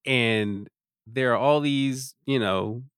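A man's voice: medium pitch, takes it slowly, a bit bright, smooth, no room sound, studio quality. A man chatting casually as an opinionated podcast guest, with informal, emphatic phrasing. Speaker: podcast guest, staff writer.

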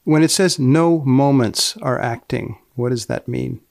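A man with a gravelly creak hosts a podcast in a deadpan, precise manner. He delivers scripted lines and short questions deliberately, recorded close and dry. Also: medium pitch, no echo. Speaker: podcast host and magazine editor.

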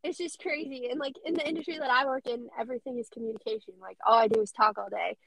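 Young woman, squeaky high voice, bubbly chatter, dry close mic. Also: steady tone, fast, gravelly, a bit bright, dry recording, low-quality recording. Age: young adult. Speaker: radio host guest. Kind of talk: casual podcast chat.

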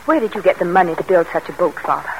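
A woman's voice: lowish voice, lively intonation, very fast. An actress in a classic radio drama playing a devoted, anxious young mother and daughter, earnest and genteel in manner. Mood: uneasy. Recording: noisy old radio drama recording.

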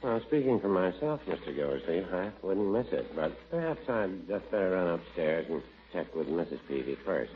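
A meek, timid old druggist. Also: male voice, sits low, sing-song, normal speed, few pauses. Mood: mild. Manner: meek, hesitant, mild. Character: meek timid small-town druggist, older man. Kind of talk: 1940s radio acting. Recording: dull old radio recording with noise.

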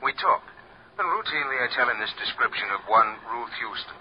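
An actor playing a wisecracking harbor police officer in an old-time radio drama. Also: male voice, mid-range voice, expressive, brisk, close to an everyday voice. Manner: wisecracking, flowery. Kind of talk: 1940s radio acting. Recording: muffled old radio recording, faint hiss.

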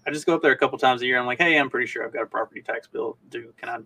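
Kentucky guy on a podcast, normal pitch, bit far from the mic, rambly casual talk. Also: rapid-fire, sharp tone. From Kentucky, United States. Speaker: podcast co-host. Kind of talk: casual chat. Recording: distant from the mic.

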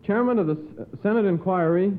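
A man's voice: sits high, quick pace. A sixteen-year-old young man formally reading out quiz questions as a guest challenger on radio. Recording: tinny, band-limited 1950s radio recording.

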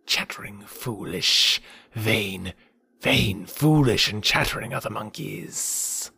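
Big old python for a storybook, super slow n gravelly, whispery, snooty and threatening. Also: male voice, on the low side, lively intonation, pushed and bright, no echo, full character voice. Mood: haughty contempt. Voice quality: breathy, whispery rasp. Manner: slow, drawn-out, deliberate. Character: huge old python, slow, haughty and menacing. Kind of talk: audiobook character voice.

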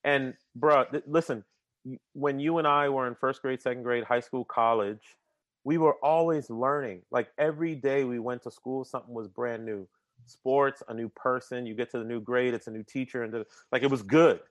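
A man's voice: mid-range voice, steady tone, moderate pace, dry recording. A man talking casually and energetically as an interview guest, often repeating words as he starts sentences and using slang like "man" and "bruh". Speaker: podcast guest.

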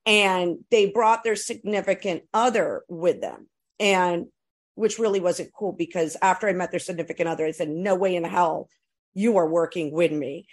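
A woman telling stories in a casual chat, frank and profane, animated, with many restarts and asides. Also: fairly low pitch, moderate pace. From California.

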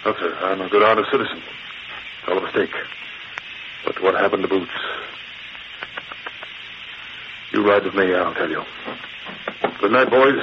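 An actor plays a smug male mob boss in a vintage radio play, on a muffled recording with clear hiss. The low voice is oily and falsely jovial, with menace under the tough slang. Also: normal speed, pauses often, lightly acted. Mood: mock innocent. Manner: oily mock innocence, tough gangster slang. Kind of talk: 1940s radio acting.

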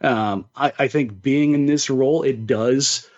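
Male sports podcast co-host, mid-pitched, opinionated and conversational, thinks aloud with repeats; slightly muffled lo-fi audio. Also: unhurried, a little mellow. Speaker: sports podcast co-host giving basketball analysis. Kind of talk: casual opinionated sports discussion.

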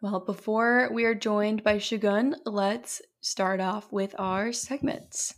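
A young woman co-hosting a podcast in casual chat, talking loosely with many fillers and a slightly hoarse voice. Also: medium pitch, fairly even intonation, slow. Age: young adult. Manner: chatty, lots of fillers. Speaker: podcast co-host.

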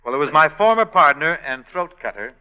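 A folksy easygoing innkeeper. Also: male voice, fairly high pitch, a little fast, smooth. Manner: folksy storyteller, relaxed and jokey. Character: easygoing male innkeeper and singer. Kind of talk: 1940s radio musical. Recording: dull lo-fi 1940s radio recording with orchestra.

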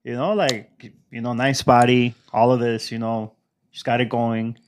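Young man, relaxed podcast co-host, slightly congested, easy code-switching delivery. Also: medium pitch, a little slow. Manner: relaxed, drops Spanish phrases into English. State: still congested, clearing throat. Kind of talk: casual podcast chat.

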